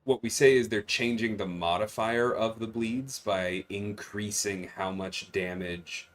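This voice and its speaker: A man's voice: on the low side, measured pace, soft background music. A man hosting a casual livestream, explaining game mechanics and answering viewers while he plays. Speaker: livestream host and game designer. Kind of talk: casual livestream chat and gameplay commentary.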